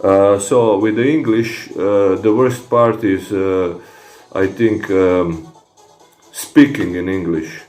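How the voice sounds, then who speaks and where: A man with a Croatian accent who speaks Croatian natively, casually answering comments from viewers in a fitness vlog, halting with many fillers and restarts. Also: low-pitched, fairly even intonation, darkish tone, roomy sound, soft background music. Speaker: fitness vlogger.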